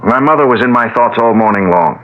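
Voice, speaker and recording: A man's voice: medium pitch, expressive, a little fast, lightly acted. An actor playing a grieving young lawyer who bluntly accuses his stepfather, in a 1970s radio drama. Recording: dull 1970s radio broadcast.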